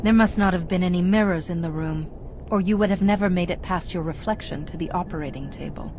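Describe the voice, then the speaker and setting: Woman acting a wry female commander for a game, lower pitched, straight-talking, noisy background. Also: a little fast, natural voice. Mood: teasing. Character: capable, wry female military commander hero. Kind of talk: video game cutscene acting.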